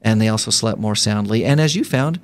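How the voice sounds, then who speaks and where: A man's voice: fairly low pitch, a little fast. A man hosting a radio show in a studio, chatting casually with plenty of fillers, restarts and easy laughter. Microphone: studio microphone.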